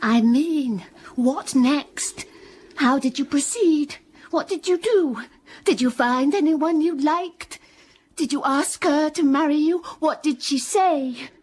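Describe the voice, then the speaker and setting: An actress playing Jane Eyre, a young governess asking earnest, probing questions softly in a radio drama. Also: female voice, sits high, slow.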